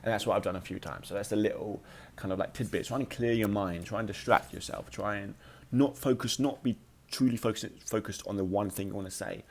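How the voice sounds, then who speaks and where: A man giving an informal motivational talk as a podcast host, with a slight occasional stutter and a halting, emphatic delivery that repeats words. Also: on the low side.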